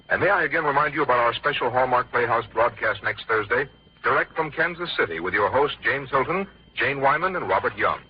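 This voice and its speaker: Polished old-time radio announcer, muffled. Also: male voice, medium pitch, breathy edge. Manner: polished, formal, promotional. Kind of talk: scripted 1950s radio announcing. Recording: muffled vintage radio broadcast.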